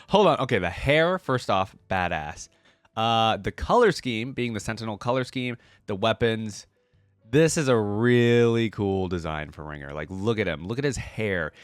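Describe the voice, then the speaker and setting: A man's voice: fairly low pitch, expressive, measured pace, clean tone, dry recording, studio quality, faint music behind. A man hosting a reaction video, talking casually and off the cuff in informal, slangy language as he thinks aloud.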